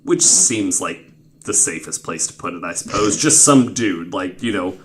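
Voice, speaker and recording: A man's voice: low voice, animated, moderate pace, a bit bright, a little hiss or hum. A man in his twenties hosting a podcast, telling stories in a casual, informal, sweary way full of filler "like"s. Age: 20s. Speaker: podcast host. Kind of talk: casual conversational storytelling.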